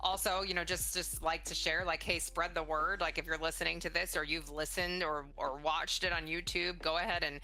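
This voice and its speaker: Casting: middle-aged woman, bright and fairly low voice, supportive interviewer in casual conversation, faint music underneath. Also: little pitch movement, moderate pace, few pauses, poor audio. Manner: encouraging, supportive. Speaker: interviewer, mother of the guest.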